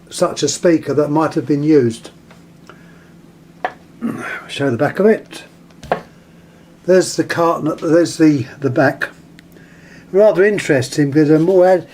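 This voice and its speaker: A British man giving a casual hands-on demonstration, speaking haltingly and pausing mid-phrase as he handles things. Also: on the high side, a little mellow. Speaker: vintage radio collector presenting an item. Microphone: handheld camera, close to the item.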